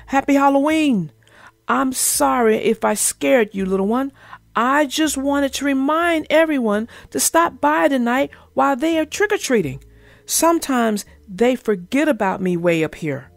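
A woman's voice: sits high, animated, measured pace, gravelly, no room sound, faint music behind, exaggerated voice. A woman voicing a friendly, apologetic male barn owl in a children's storybook.

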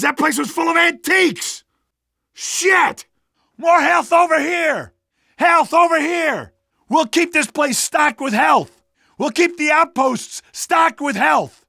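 Gritty, high-strung resistance fighter. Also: male voice, gravelly, bright, forceful tone, no echo, studio quality, full character voice. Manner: urgent, emphatic. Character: gritty male resistance fighter rallying troops under attack. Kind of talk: video game battle barks.

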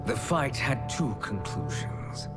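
An actor playing a scheming, smug sorcerer villain in a video game, speaking in a measured, theatrical, condescending way. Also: male voice, medium pitch, sing-song. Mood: grandiose. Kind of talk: video game cutscene acting. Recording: loud cinematic music behind.

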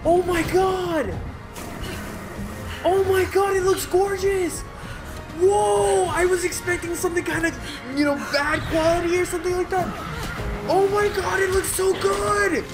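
A man's voice: very high-pitched, fairly even intonation, unhurried, music in the background. A man in his thirties hosting a casual live reaction, rambling and exclaiming in slang, recorded in a room at home. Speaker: reaction video host. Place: home room with kitchen, indoors.

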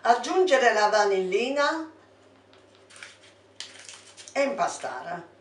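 Older Italian woman, slow instructions. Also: fairly high pitch, sing-song, a little hiss or hum. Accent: Abruzzo Italian. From Italy. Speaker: home cook. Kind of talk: cooking demonstration. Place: home kitchen, indoors. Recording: echoey kitchen, distant mic.

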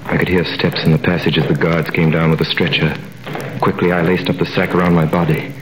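A man playing a young earnest prisoner, low voice. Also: flat delivery, brisk, lightly acted. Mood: grave narration. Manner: earnest, dramatic delivery. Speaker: narrator. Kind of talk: 1930s radio drama acting. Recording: old radio recording with faint hiss.

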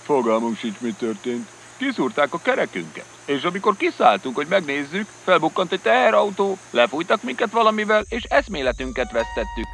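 Gravelly, puzzled cartoon official. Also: male voice, a little high, very animated, few pauses, a bit bright, a little hiss or hum, exaggerated voice. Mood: shaken.

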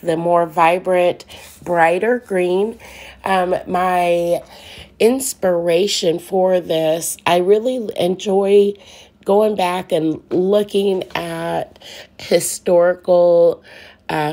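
A middle-aged woman narrating her furniture painting in an unhurried, thoughtful way, with frequent ums and restarts. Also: on the low side, darkish tone. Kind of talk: relaxed voice-over narration.

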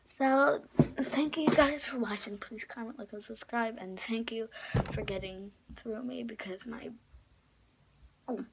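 A young woman with a raspy, often whispery voice, rambling through a casual craft tutorial with lots of fillers and restarts, filmed close up on a phone camera in her bedroom. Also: fairly high pitch, unhurried. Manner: rambling, filler-heavy, self-correcting. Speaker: craft vlogger. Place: small bedroom indoors. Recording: noisy home recording.

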